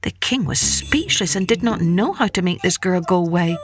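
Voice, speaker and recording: A woman's voice: on the low side, animated, moderate pace, faint music behind. A woman storyteller reading a scripted children's folktale expressively.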